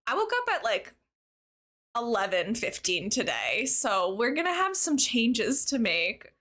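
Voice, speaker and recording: Bright, bubbly young woman livestreamer. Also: on the high side, huge pitch swings, a little slow. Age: young adult. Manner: bubbly, chatty. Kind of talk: casual livestream chat with viewers. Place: live game stream.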